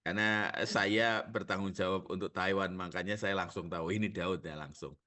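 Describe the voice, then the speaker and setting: A man's voice: low voice, fairly even intonation, moderate pace, sharp tone. A male pastor with a Javanese accent preaching a sermon, asking the congregation rhetorical questions and joking.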